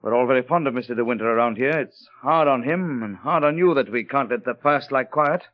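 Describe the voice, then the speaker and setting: A man's voice: medium pitch, close to an everyday voice. Muffled old-radio grave harbour master played by a man. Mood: apologetic. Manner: measured, apologetic, hesitant. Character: grave, tactful, reluctant male harbour master. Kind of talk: 1930s radio drama acting.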